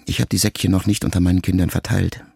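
A man reading a first-person letter as an audiobook narrator, calm and measured. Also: deep voice, creaky, breathy edge, no room sound, clean studio sound. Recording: music bed under the voice.

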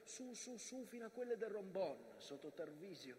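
An actor playing a mountain that tells of soldiers at war in a declamatory theatrical manner. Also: male voice, high voice, sing-song, normal speed. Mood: vivid, bitter. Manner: declamatory, swinging from hushed to shouting. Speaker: stage actor. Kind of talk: theatrical monologue, dramatic narration. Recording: reverberant hall with background noise.

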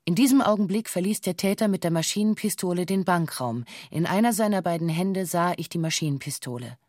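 A slightly low female voice acted for radio gives a hostage's witness statement. She is sober and exact, with formal, official wording. The recording is dry, as in a documentary radio play. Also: brisk, a little mellow, no room sound, natural voice. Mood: sober recounting. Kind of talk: documentary radio drama, reading a police testimony.

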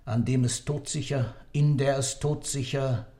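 A man plays an elderly accused man in a German radio drama, a self-styled god. His medium-pitched voice is measured and ironic. He speaks with proud, cryptic superiority. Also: fairly even intonation, mellow, natural voice. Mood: proud, confiding. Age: older. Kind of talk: German radio play acting.

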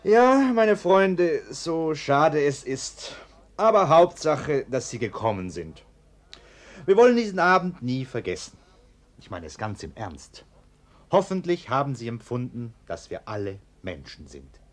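An actor in a German radio play playing an anxious, gullible middle-aged householder, ingratiating and prone to declaiming. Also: male voice, mid-range voice, sing-song, a little slow. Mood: effusive. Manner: ingratiating, declaiming, hesitant under pressure.

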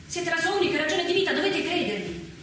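An actress playing an outspoken, loyal maid in a stage tragedy, speaking with earnest emphasis. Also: female voice, very high voice, big pitch swings, fast talker. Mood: earnest pleading. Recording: very echoey, distant, noisy stage recording.

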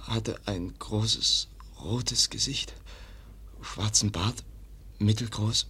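A man's voice: fairly low pitch, drawn-out words, pushed and bright, breathy edge, exaggerated voice. An actor in a German radio drama playing a wounded young man, weak and in pain, gasping out broken, clipped sentences. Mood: weak, labouring.

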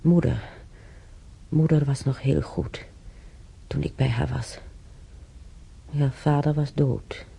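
An actress playing an older woman in a radio play, musing haltingly and sorrowfully over the past in a husky, gravelly voice. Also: low-pitched, fairly even intonation, dark tone, full character voice. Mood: wistful, sorrowful. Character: older woman, sorrowful, brooding, recalling war years. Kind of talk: radio play monologue, reminiscing.

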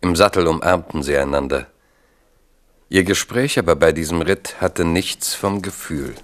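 Deep-voiced male radio drama narrator. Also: moderate pace.

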